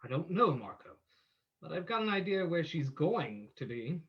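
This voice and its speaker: A man playing a composed, shrewd gentleman detective with a dry, decisive manner in an old-time radio play. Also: a little high, sing-song, moderate pace, highs cut off.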